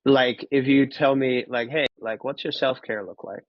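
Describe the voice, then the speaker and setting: A man, telling his personal story in an interview, candid and halting, often repeating words. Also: mid-range voice, steady tone, no room sound, muffled recording. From Finland. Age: 30s. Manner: candid, casually profane. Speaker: podcast guest.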